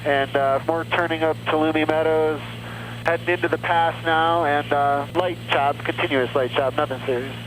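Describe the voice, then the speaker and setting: A man's voice: a little high, fairly even intonation, normal speed, rough recording. A man working as a mountain flying instructor, coaching and making radio calls on an aviation headset. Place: small aircraft cockpit in flight. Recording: loud aircraft cockpit noise, radio.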